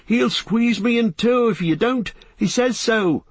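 A man's voice: very high voice, a little slow, dry recording, pitched above the performer's own voice, full character voice. A man voicing a panicked young hobbit in storybook dialogue, strained and urgently pleading. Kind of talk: acted audiobook dialogue.

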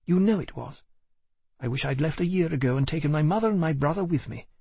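A proud young woman in an audiobook, clipped and dismissive, her voice lifted well above normal by a man reading the part. A husky, gravelly edge runs under it, and the sound stays dry and close. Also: on the high side, animated, fast talker, warm tone, dry recording, voice pushed up, full character voice. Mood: weary, bitter. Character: cold, weary young woman, firm and proud.

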